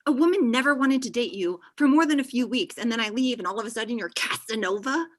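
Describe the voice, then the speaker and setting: A blunt young woman, played by an actress, with a voice on the high side. She is defensive and argumentative, as read in a comedy table read. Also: fast talker, natural voice. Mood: confrontational. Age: young adult. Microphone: video call.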